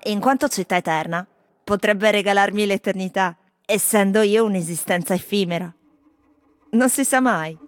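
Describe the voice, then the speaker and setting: An actress playing wry, world-weary foreign tourists in a theatrical radio monologue, speaking in delivery. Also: female voice, medium pitch, moderate pace, natural voice. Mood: solemn, wry. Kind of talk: theatrical scripted monologue over music. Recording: music playing behind the voice.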